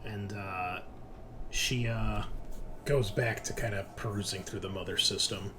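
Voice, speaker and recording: Low-voiced male game master, noisy audio. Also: fairly even intonation, pushed and bright. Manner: halting, descriptive, conversational. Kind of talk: improvised tabletop game narration.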